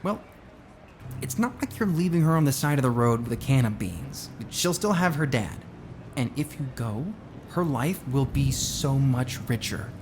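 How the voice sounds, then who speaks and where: A man's voice: medium pitch, animated, moderate pace, slight background noise, lightly acted. A man playing a confident, glib billionaire space colony founder in a naturalistic audio drama, talking in a casual, assured, persuasive way. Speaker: voice actor in a musical audio drama.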